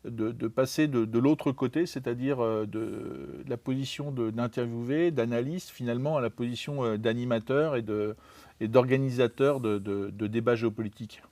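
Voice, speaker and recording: A man's voice: mid-range voice, normal speed, dark tone, dry recording. Hesitant male interviewer, dry sound. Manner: hesitant, many fillers and restarts. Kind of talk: conversational interview.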